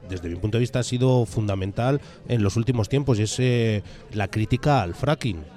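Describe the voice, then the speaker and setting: Casual male radio interviewer, medium-pitched voice. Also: warm tone. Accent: Spain. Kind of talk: casual, rambling radio chat.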